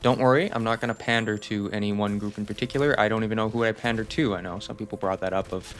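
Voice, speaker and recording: A man's voice: fairly low pitch, steady tone, quick pace, a bit bright. A young man giving a casual, rambling channel update, self-deprecating and reassuring. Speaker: channel host.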